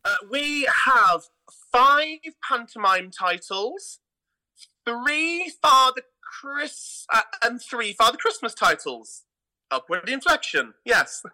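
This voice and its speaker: A man's voice: extremely high pitch, very animated, slow pace, sharp tone, poor audio. A man chatting casually as an interview guest, talking in an enthusiastic, effusive way about his work as a theatre director.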